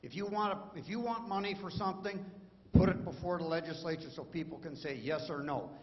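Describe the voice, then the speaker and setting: Man, higher voice, loud and indignant audience member, dull recording with long hall reverb. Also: fairly even intonation, brisk, slight background noise. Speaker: audience member asking a question. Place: meeting hall.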